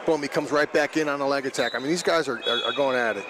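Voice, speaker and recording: A man's voice: medium pitch, quick pace. A man giving live radio analysis of wrestling in an emphatic, conversational way. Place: indoor sports arena, matside. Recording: live arena with crowd noise. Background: crowd noise.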